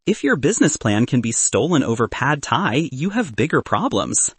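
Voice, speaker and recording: A man voicing a confident, teasing young woman, a sharp student founder in an audiobook, brisk and bantering. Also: medium pitch, big pitch swings, husky, dry recording. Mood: frank, confident.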